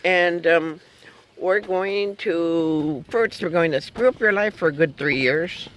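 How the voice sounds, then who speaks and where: A man's voice: fairly high pitch, slow, a bit bright, slight background noise, rough recording. A man in his fifties chatting in a car in a rambling, joking way, chuckling and humming silly tunes. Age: 50s. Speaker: vlogger. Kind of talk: rambling casual chat.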